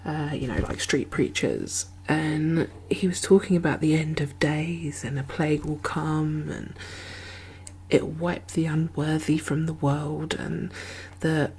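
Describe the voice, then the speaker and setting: A woman playing an anxious, caring zombie apocalypse survivor in a soft-spoken, half-whispered ASMR roleplay, hesitant and musing, with breathy sighs. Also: sits low, fairly even intonation, slow, a little hiss or hum, rough recording.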